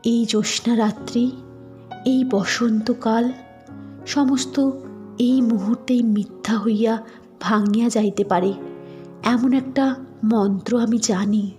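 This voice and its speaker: A woman plays a young wife in a literary audio drama, sorrowful and grave. Her voice is highish and soft, with very slow, measured phrasing. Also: fairly even intonation, faint music behind. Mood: hushed, foreboding.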